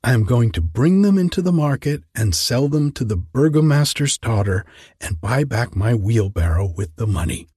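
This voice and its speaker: A man reading aloud and voicing a humble, meek young gardener in a softer, higher voice. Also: sing-song, moderate pace, husky, no echo, studio quality.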